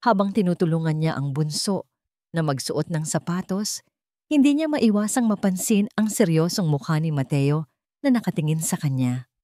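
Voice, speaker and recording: A woman with a Filipino accent reading a dramatic scripted story in measured, emotive short phrases. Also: deep voice, expressive, dark tone, no echo, studio quality. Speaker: story narrator.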